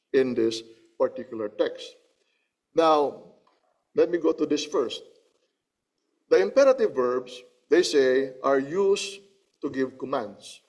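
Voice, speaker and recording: A man preaching a sermon, teaching slowly from slides, repeating phrases and often checking with "Okay?" and clearing his throat between points. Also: fairly high pitch, a little mellow. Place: church.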